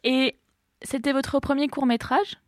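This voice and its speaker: A woman host asking short, measured interview questions. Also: high voice, fairly even intonation. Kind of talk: radio-style interview questions.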